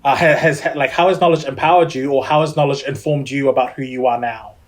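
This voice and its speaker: A man's voice: mid-range voice, fairly even intonation, fast talker. Hesitant male podcast host. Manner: hesitant, repeating words as he searches for phrasing. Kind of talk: casual interview questions.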